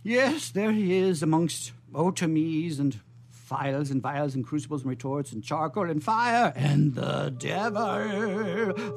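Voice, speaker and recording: A woman's voice: low voice, creaky, full character voice. A woman playing a nervous, superstitious male servant in a radio comedy drama, with a fretful, exaggerated theatrical manner. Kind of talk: comic theatrical radio acting.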